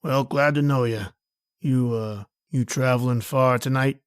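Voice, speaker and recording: Radio thriller stranger, played by a man: folksy, casual, insistent, quietly menacing, medium-pitched male. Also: fairly even intonation, measured pace, clean tone, natural voice. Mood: casual, pushy. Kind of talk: old-time radio horror drama acting.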